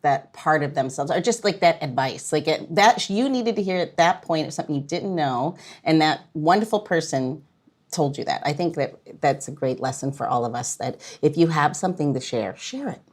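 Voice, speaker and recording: American woman, podcast host, low-pitched, informal, teasing, casual conversation. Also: animated, a little fast. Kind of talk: casual interview chat.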